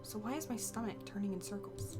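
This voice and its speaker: A woman's voice: medium pitch, fairly quick, over music, close to an everyday voice. A woman voicing a conflicted, secretive visual novel heroine, hesitant and reflective as she narrates her own thoughts. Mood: conflicted, brooding. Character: conflicted, secretive heroine with a brooding, wary inner voice. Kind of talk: visual novel read-aloud with inner monologue.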